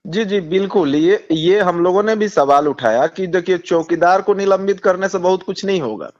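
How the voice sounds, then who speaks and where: The sound is a slightly muffled, lo-fi phone call. A man with a Bihari accent and a somewhat high voice makes a political argument. He is insistent, often stumbling and repeating his phrases. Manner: halting, repetitive, insistent. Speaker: communist party politician. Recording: dull lo-fi phone call recording.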